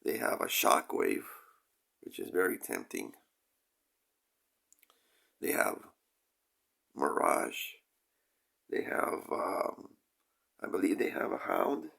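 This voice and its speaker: A man getting over a cold, with a crackly voice, hosting a casual toy review in a hesitant, rambling way with frequent pauses. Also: extremely low pitch, wildly swooping pitch, fairly bright tone. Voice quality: crackly, gravelly. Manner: hesitant, rambling, pausing often, frequent throat clearing. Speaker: toy review channel host. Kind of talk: casual product review talk.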